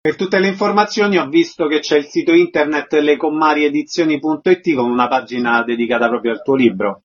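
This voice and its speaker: A man's voice: on the high side, a little fast. A man hosting a radio interview, courteous and concise, with prepared announcer-like phrasing. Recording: dull radio audio with clear noise.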